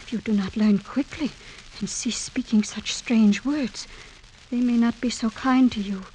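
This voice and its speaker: An actress playing a gentle, shy young village maiden, soft and earnest in 1940s radio drama style. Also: female voice, fairly high pitch, fairly even intonation, a little slow, slight background noise, close to an everyday voice, low-quality recording. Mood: anxious warning. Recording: vintage radio recording.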